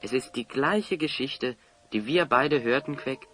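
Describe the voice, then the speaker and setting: A calm, wise adventurer, played by a man, speaks in a bright, medium-pitched voice. He is courteous and assured, in a radio play over faint music. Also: a little slow, close to an everyday voice. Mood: grave, explaining. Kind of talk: German radio play acting.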